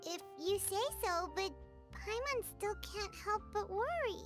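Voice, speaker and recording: Game fairy sidekick: tiny earnest girl, extremely high squeaky voice, music underneath. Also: animated, measured pace. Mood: worried. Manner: squeaky, childlike, speaks of herself in third person. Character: tiny childlike floating fairy companion girl, earnest and worried. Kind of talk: video game voice acting.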